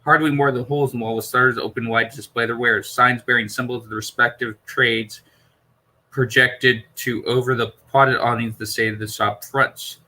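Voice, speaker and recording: A man's voice: mid-range voice, fairly even intonation, normal speed, pushed and bright, poor audio. A man reading a science fiction novel aloud as an amateur narrator, stumbling over words and repeating phrases. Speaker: amateur audiobook reader.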